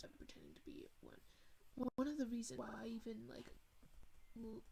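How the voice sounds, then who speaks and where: A woman's voice: a little high, warm tone, slight background noise, rough recording. A young woman from Thailand streaming a game, telling a story in a chatty, rambling way full of fillers and asides. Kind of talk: casual storytelling while gaming.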